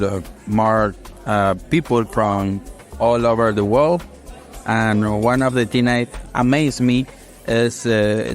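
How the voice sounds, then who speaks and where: Slow, hesitant man from Panama. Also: fairly low pitch. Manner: hesitant, many fillers. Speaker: biologist, fellow.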